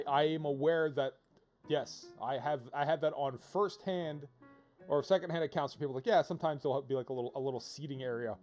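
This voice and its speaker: A man giving casual, rambling game-stream commentary in an animated, digressive way, repeating himself for emphasis. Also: fairly high pitch. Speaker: livestreamer playing a visual novel. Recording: game music behind the voice. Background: video game music.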